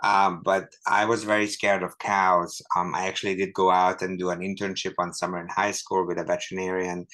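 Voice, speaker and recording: A middle-aged man from Hungary, an author and professor giving a relaxed, chatty talk with dry humor and many ums over a video call. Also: low voice, poor audio. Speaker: author and professor, guest speaker. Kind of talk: informal talk to an online audience.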